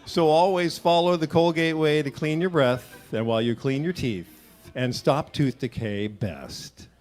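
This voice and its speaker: A man's voice: on the high side, unhurried, a little mellow, soft background music. Man announcing a vintage radio commercial. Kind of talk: scripted 1940s radio advertisement read.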